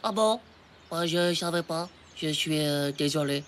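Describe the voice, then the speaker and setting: An actor playing a cocky, excitable ten-year-old schoolboy in a French cartoon, talking in boastful, slangy exclamations. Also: mid-range voice, a little slow, faint music behind, lightly acted. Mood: sheepish, consoling.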